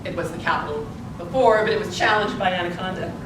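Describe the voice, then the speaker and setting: A woman's voice: lowish voice, fast talker, close to an everyday voice. A woman playing an eighty-six-year-old Montana suffragist in a live theatre piece, grateful and principled, speaking with earnest deliberation. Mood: reminiscing, grateful. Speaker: actor in a theatre presentation. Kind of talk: theatrical interview and monologue, live stage performance. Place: indoor presentation space at a public library. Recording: echoey, distant, noisy library event recording.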